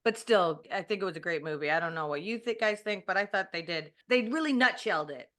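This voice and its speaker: A woman's voice: fairly low pitch, animated, fast talker, fairly bright tone. A woman in casual book club chat, enthusiastic and rambling, laughing often. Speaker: book club podcast member.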